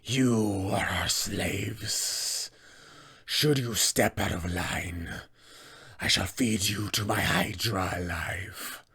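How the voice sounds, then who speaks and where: A man voicing a cold, menacing serpent-man warlord, a fallen paladin, hushed and deliberate, for a fantasy tabletop game. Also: low voice, slightly rough, voice pushed down, exaggerated voice. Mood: cold threat. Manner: slow, deliberate, imperious.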